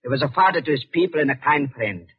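An actor playing an older German doctor, weary and unctuous, with halting, mournful phrasing in 1940s radio drama style. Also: male voice, fairly high pitch, expressive, slightly rough. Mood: regretful, insistent. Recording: muffled vintage 1940s radio broadcast.

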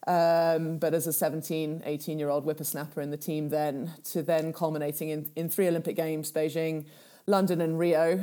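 A woman in her thirties from Kenya answers interview questions in an unhurried, reflective way. Her voice sits low and sounds mellow and soft. She often pauses on fillers and restarts her sentences. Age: 30s. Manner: thoughtful, many fillers and restarts. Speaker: guest, charity founder. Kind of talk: casual interview talk.

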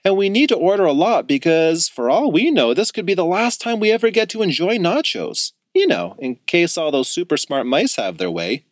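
A man voicing an excitable boy who plans a wild party, talking eagerly and in a rush. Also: sits high, moderate pace, hardly any pauses, dry recording, studio quality, pitched above the performer's own voice. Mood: excited. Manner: eager, breathless schemer.

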